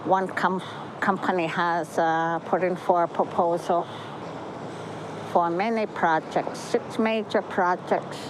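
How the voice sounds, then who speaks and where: A woman speaking up for her community in an archival news clip, with slow and measured delivery. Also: fairly low pitch, fairly even intonation, noisy background. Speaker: community advocate.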